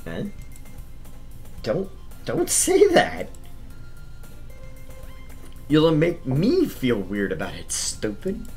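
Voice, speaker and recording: A man's voice: mid-range voice, wildly swooping pitch, extremely slow, long pauses, a little mellow, soft background music, exaggerated voice. A man voicing an exasperated, easily flustered teenage boy who scolds and stammers in a visual novel. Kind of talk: visual novel read-aloud.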